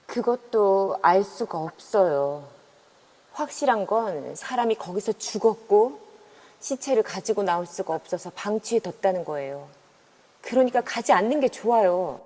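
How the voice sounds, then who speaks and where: A woman's voice: mid-range voice, lively intonation, unhurried, mellow. A woman as a worried middle-aged woman, earnest. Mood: grave. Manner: earnest, urgent pleading. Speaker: voice actor. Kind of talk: amateur audio drama acting. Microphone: phone in an online voice room. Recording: long reverb on a voice chat app.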